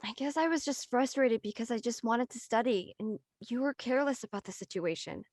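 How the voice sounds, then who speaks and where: A woman's voice: a little high, brisk, a little mellow, dry recording, clean studio sound, natural voice. A woman playing a stressed, studious, apologetic teenage schoolgirl in a drama read, speaking earnestly. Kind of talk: audio drama table read acting.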